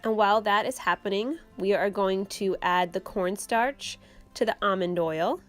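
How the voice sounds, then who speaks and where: A young woman hosting her own channel, explaining a homemade recipe step by step in a relaxed, casual way from her kitchen. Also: medium pitch, normal speed, faint music behind. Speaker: channel host. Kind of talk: casual how-to vlog. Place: home kitchen.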